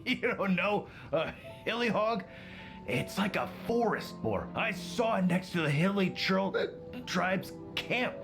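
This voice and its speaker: A man's voice: sits high, expressive, unhurried, gravelly, heavily acted. A man playing a jolly, foolish, drunken hunter father in a video game, rambling and slurring. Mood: boastful, scoffing. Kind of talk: video game character acting. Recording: game music behind the voice. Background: game music.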